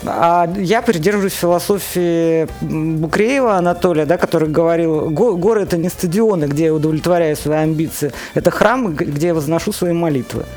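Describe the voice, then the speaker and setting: A woman's voice: fairly low pitch, fairly quick, few pauses, darkish tone. A woman answering questions conversationally as an interview guest, speaking about her own experiences. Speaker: interview guest, solo motorcyclist and climber. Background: faint music.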